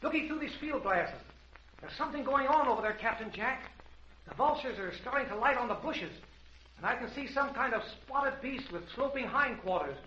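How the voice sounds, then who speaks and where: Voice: medium pitch, animated, normal speed, bright, forceful tone. A performer playing an eager, earnest American boy adventurer in an old-time radio serial. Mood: excited. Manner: breathless, earnest, exclaiming. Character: eager excitable boy adventurer, loyal and brave. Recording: dull, noisy vintage radio recording.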